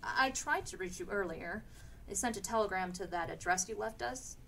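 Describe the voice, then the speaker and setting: Radio drama big sister played by a woman: mid-pitched, fond, homely, a bit hesitant, over background noise. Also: animated, brisk, gravelly, fairly bright tone. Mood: concerned. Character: fussing, affectionate big sister, homely small-town woman. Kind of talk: live radio play acting.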